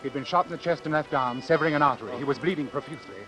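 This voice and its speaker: An actor playing a cold, calculating doctor in a vintage suspense radio drama, speaking in a formal, precise, measured way. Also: male voice, a little high, over music, close to an everyday voice. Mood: cold, reflective. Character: cold, calculating male doctor obsessed with his experiment. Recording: hissy old radio recording.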